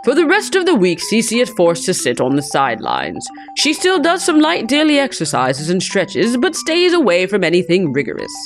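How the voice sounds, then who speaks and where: A woman reading a visual novel aloud in an expressive, playful way with goofy asides. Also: medium pitch, normal speed, hardly any pauses, faint music behind. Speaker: let's play host.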